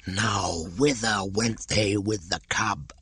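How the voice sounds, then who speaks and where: A man voicing a wary old male python in a storybook reading, speaking slowly and deliberately. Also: on the low side, bright, forceful tone, a little hiss or hum, pitched above the performer's own voice, exaggerated voice. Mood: cool, measured. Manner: slow, drawn-out and measured. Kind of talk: audiobook character voice.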